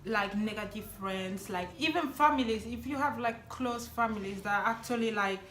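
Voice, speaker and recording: A woman's voice: medium pitch, moderate pace, fairly bright tone. A young woman whose first language is not English, chatting casually with a friend, peppering her talk with "like" and "actually". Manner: chatty, frequent "like" and "actually", laughs often. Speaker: vlog host. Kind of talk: casual chat. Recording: echoey room.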